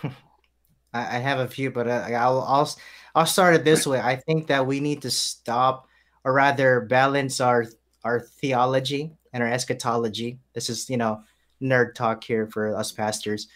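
Male pastor, mid-pitched voice, emphatic preacherly delivery on a panel. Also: fairly even intonation, measured pace, fairly bright tone. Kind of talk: panel discussion.

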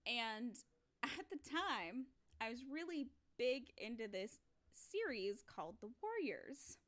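A woman's voice: on the high side, very animated, takes it slowly, fairly bright tone. A young woman co-hosting a podcast, telling a rambling story in casual chat. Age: young adult. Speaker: podcast co-host.

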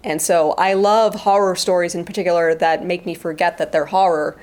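A woman's voice: fairly low pitch, steady tone, moderate pace, a little mellow. A woman talking reflectively in an interview, explaining her creative views in a thoughtful way. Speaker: interview guest, sound effects artist and writer. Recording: music playing behind the voice. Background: music.

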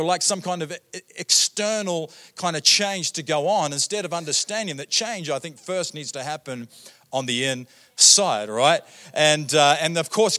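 A man's voice: on the high side, a bit bright. A man in his thirties with a New Zealand accent, preaching as a guest pastor in a church, chatty and joking with many fillers and "right?" tags. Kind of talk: sermon. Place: church auditorium.

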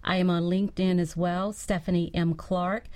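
Woman, lower-pitched voice, talk-radio guest, casual speech. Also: little pitch movement, normal speed, darkish tone. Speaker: guest, marketer.

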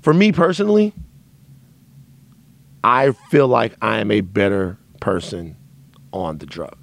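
Podcast guy, raspy dry throat, lowish gravelly voice, rambling and candid, um and uh a lot. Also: animated, unhurried. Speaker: podcast host. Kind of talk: casual monologue to listeners.

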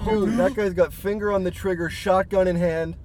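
Excitable young man, fairly high voice. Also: moderate pace. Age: young adult. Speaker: student making an audio travel log. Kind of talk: casual chat and field commentary. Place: on location during a road trip. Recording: field recording, music and traffic behind.

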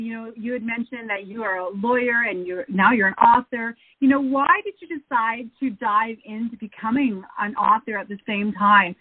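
A woman's voice: fairly high pitch, slightly rough, no echo. A woman hosting an interview show, talking enthusiastically and effusively. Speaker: show host. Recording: phone-like narrow audio.

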